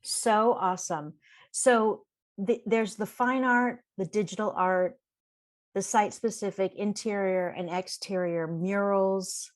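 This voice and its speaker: A woman's voice: mid-range voice, steady tone, unhurried. A woman hosting a podcast, reading an introduction and then chatting with her guest in an upbeat way. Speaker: podcast host. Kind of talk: scripted intro then interview chat.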